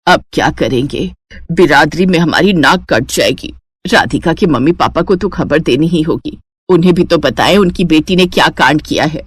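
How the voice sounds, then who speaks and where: Woman playing older mother-in-law, lowered voice, measured, soothing, traditional, animated family drama, dry sound. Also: slightly rough, no room sound. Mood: troubled.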